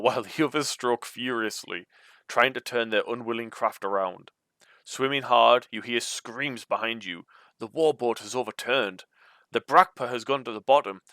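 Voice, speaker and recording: A man's voice: mid-range voice, a bit bright, dry recording. A man hosting a read-aloud of a gamebook, moving between storybook narration and informal, chatty commentary. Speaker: host reading a gamebook aloud.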